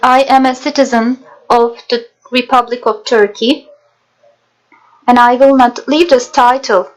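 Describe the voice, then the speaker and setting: Higher-pitched woman narrating formally. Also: steady tone, a little slow, poor audio. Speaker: narrator and interpreter. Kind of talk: scripted documentary narration and voice-over translation.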